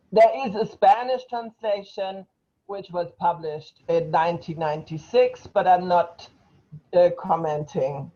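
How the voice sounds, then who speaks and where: An older woman, a non-native English speaker, giving an academic lecture over a video call with measured pauses and frequent hesitations. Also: lowish voice, mellow, highs cut off. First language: not English. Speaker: invited speaker, professor.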